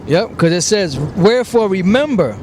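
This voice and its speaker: A man preaching outdoors, slowly reading scripture verses aloud and then explaining them. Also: high-pitched, very animated, darkish tone, a little hiss or hum.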